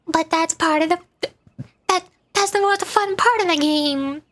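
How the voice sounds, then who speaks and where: A woman's voice: very high voice, measured pace, fairly bright tone. A woman chatting casually as a game streamer, playful and self-conscious, with a hesitant way of talking. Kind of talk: casual livestream chat while voicing game dialogue.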